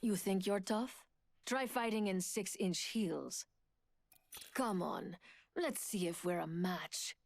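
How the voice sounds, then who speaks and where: An actress playing a poised, confident, seductive thief in a video game, with cool, teasing delivery. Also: female voice, medium pitch, takes it slowly, gravelly, a little mellow. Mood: cool challenge. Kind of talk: video game character voice lines.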